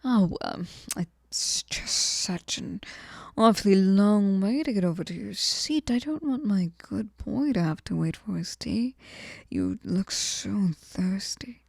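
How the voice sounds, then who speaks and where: Female actor as possessive fairy, sugary and clingy, warm dark tone, very slow delivery, breathy whispers, intimate ASMR roleplay. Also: medium pitch, animated, no echo, studio quality, exaggerated voice. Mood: cuddly, doting. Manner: breathy cooing, often dropping into whispers, coaxing. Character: sweet, clingy, possessive female fairy hostess, lonely and obsessive. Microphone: close microphone, dry sound.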